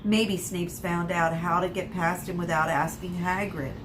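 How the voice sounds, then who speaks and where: A woman's voice: lowish voice, steady tone, measured pace, noisy background, natural voice. A woman reading aloud voices an eager, impulsive eleven-year-old boy wizard.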